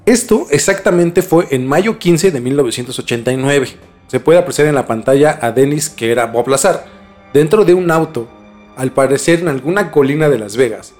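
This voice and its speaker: A man's voice: medium pitch, moderate pace, a little mellow. A man hosting a podcast, telling a story conversationally to a co-host and repeating phrases for emphasis. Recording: music bed under the voice.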